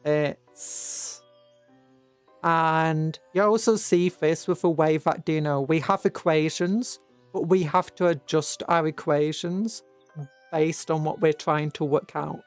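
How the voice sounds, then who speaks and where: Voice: medium pitch, fairly even intonation, slow pace, clean tone. British streamer just rambling along, kinda hesitant, restarts sentences a lot, bit of music in the background. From Britain. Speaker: livestream host. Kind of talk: casual rambling livestream chat.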